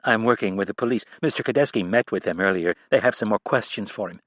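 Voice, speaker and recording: A man's voice: lowish voice, fast talker, fairly bright tone, dry recording. A man voicing a determined young woman illusionist who talks firmly in an audiobook. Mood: insistent. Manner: firm, insistent.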